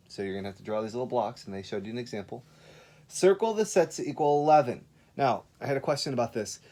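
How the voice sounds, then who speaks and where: A man's voice: mid-range voice, a little mellow. A man speaking as a kindergarten teacher in an informal remote lesson, giving encouraging step-by-step instructions with frequent check-ins.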